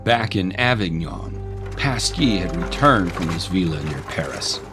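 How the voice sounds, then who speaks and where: A man narrates a dramatic scripted tale in a low voice. He takes it very slowly, with loud music playing behind him. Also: expressive.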